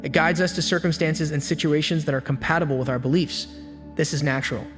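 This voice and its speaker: A man giving scripted self-help narration with an even, steady storytelling delivery. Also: on the high side, fast talker. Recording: long hall reverb with faint music. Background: faint background music.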